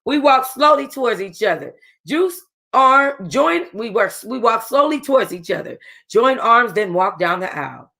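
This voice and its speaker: A woman's voice: a little high, sing-song, moderate pace, creaky, sharp tone. A young woman reads a novel aloud to her book club in an easy, casual way. She trips over words, goes back to reread them, and stops now and then to talk straight to her listeners. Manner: casual, chatty asides to listeners; stumbles and rereads lines. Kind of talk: reading a novel aloud with asides.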